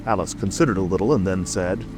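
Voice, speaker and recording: A deep male voice narrates a storybook tale. Music plays clearly underneath the scripted reading. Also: quick pace. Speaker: audiobook narrator.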